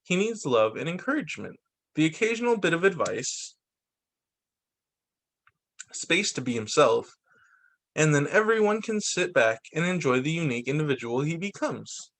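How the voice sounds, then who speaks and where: Animated male teacher reading aloud, fairly high voice. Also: normal speed, long pauses. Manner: expressive reading with short spoken asides. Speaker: teacher reading picture books to pupils. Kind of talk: read-aloud story time for children.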